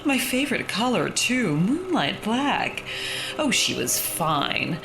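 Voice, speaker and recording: A woman's voice: medium pitch, very animated, takes it slowly, vocal fry, music in the background, exaggerated voice, low-quality recording. A woman playing a macabre horror show hostess, darkly playful and theatrical, delivering a scripted comic introduction. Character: macabre horror hostess with gleefully morbid humour. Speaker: host of a creepy story show.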